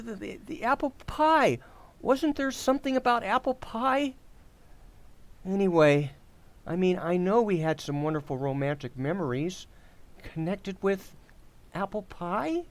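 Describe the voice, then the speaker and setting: A man acting a bumbling, forgetful, excitable husband and father in an old-time radio comedy, with a flustered, stammering delivery. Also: high voice, a little slow, pauses often. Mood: excited, flustered. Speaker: amateur actor.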